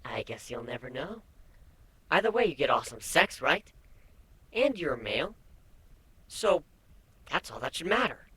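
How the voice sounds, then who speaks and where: A woman's voice: sits low, sing-song, moderate pace, long pauses, fairly bright tone, husky, exaggerated voice. A woman playing a playful, affectionate female changeling pony in a dramatic fan fiction reading, with a casual, teasing delivery. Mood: teasing, fond. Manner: teasing, casual, hesitant in places.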